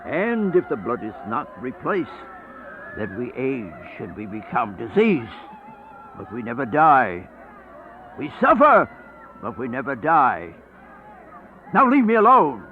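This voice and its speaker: An aged vampire, wildly swooping voice. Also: male voice, medium pitch, wildly swooping pitch, a little hiss or hum. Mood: scornful, exasperated. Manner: theatrical, declamatory, drawn-out phrasing. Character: aged, sickly first male vampire, bitter, grandiose, world-weary. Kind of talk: radio horror play acting. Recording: thin phone-like band-limited audio with music.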